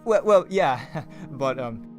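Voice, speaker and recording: A man's voice: mid-range voice, animated, slow pace, soft background music. A young man acting a nervous, evasive youth with a hesitant delivery in an amateur Minecraft roleplay. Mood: sheepish. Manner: hesitant, stammering.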